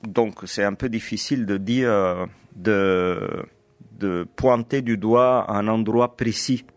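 A middle-aged Italian man speaking French with an Italian accent, a guest in an interview who explains himself in a measured way with frequent hesitations. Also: lowish voice, dry recording. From Italy. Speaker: guest, teacher and editor. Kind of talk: interview conversation.

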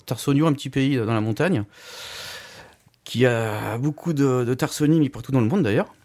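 A man guesting on a radio show, telling about his family roots in a quick, lively way. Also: mid-range voice. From France. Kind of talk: casual radio interview. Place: radio studio.

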